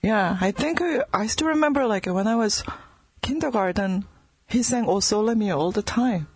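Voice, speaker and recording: A middle-aged woman with a Korean accent chats easily in a radio studio, laughing often between her words. Her voice is a little husky, and the sound is close and dry. Her English carries small grammar slips from her first language. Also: mid-range voice, animated, normal speed, dry recording. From South Korea. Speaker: guest organist. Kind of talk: casual radio interview.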